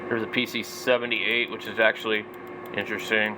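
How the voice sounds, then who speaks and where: A man's voice: on the low side, little pitch movement, brisk, background noise. A man in his forties giving casual, off-the-cuff product commentary, rambling and thinking aloud. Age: 40s. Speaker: vlogger, radio hobbyist.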